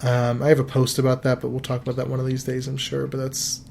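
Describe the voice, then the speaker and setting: A man's voice: medium pitch, fast talker, creaky, slight background noise. Man in his thirties talking money. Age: 30s. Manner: relaxed, rambling, with frequent fillers and restarts. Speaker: podcast host giving financial advice. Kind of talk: casual podcast chat.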